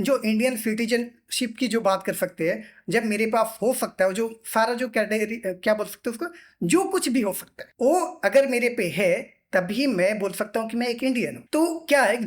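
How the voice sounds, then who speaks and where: A man teaching in an informal, explanatory style, repeating himself and often posing questions that he then answers. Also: very high-pitched.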